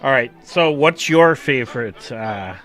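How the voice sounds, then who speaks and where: A man's voice: medium pitch, animated, unhurried, fairly bright tone, faint music behind. A man co-hosting a podcast, chatting casually and informally. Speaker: podcast co-host. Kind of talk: casual chat, reading out a countdown list.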